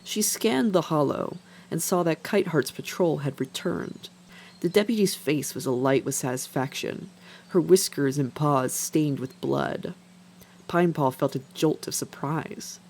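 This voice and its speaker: A storyteller narrates scripted fiction in a voice of middle pitch. Faint noise runs behind the reading. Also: a little slow, vocal fry.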